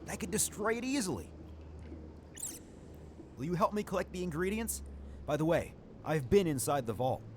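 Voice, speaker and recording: A man voicing an eager, nervous potion brewer in a video game, animated in his delivery. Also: on the high side, normal speed, pauses often, slight background noise. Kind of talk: video game character acting.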